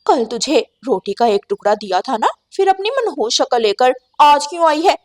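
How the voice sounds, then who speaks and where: A woman's voice: high-pitched, very animated, moderate pace. A woman voicing a heartless, angry female cartoon bird who scolds harshly and with contempt. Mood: angry, scornful.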